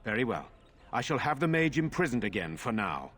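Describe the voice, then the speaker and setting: An actor playing a grave, resolute nobleman in a fantasy video game, speaking formally and with measured words. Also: mid-range voice, very animated, a bit bright. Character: grave, resolute nobleman defending his brother's castle.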